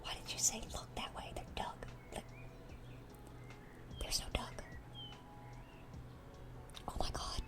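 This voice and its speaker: A woman's voice: slow, long pauses, lightly acted. A woman playing a dorky, affectionate young demon girl in a whispered ASMR roleplay, rambling and repeating herself eagerly. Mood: baffled, amazed.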